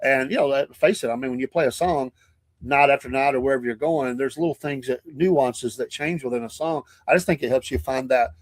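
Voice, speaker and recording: A man's voice: medium pitch, fairly quick, no echo. Dry-sounding male podcast host. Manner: easygoing, acts out voices in stories. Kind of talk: casual interview chat.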